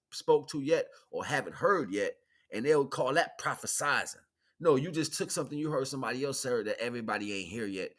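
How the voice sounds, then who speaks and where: A man's voice: mid-range voice, pushed and bright. An American man giving a casual, off-the-cuff spiritual monologue in a slangy, streetwise, emphatic way, often repeating his points. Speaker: streamer giving spiritual teachings.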